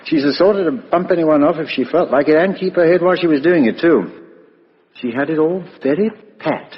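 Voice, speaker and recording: An actor playing a brisk, shrewd English police inspector in a 1950s British radio drama, blunt and businesslike. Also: male voice, fairly high pitch, close to an everyday voice. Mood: confiding, suspicious. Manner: businesslike, colloquial, matter-of-fact. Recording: muffled, reverberant vintage radio recording.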